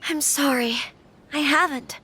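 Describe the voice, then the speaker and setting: A woman playing a gentle, troubled young noblewoman in a video game, speaking earnestly and with measured care. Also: high-pitched, a little hiss or hum. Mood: wistful, reflective. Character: gentle, troubled young noble lady facing an arranged marriage.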